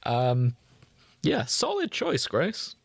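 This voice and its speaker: A man's voice: mid-range voice, huge pitch swings, very slow, creaky, slightly rough, no echo. A man co-hosting a podcast in casual chat, teasing and swearing freely. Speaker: podcast co-host.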